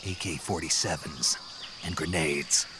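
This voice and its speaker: An actor playing a gruff male special operations soldier in a video game, terse and gravelly, giving short military replies. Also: very deep voice, fairly even intonation, unhurried, noisy background. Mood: tense, focused.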